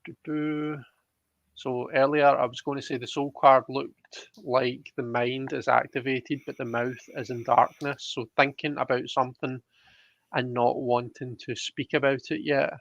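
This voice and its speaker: A man hosts a casual live stream, reading comments aloud at an unhurried, slow pace. The sound is dull and lo-fi, with noticeable room echo around his voice. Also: mid-range voice, steady tone, vocal fry.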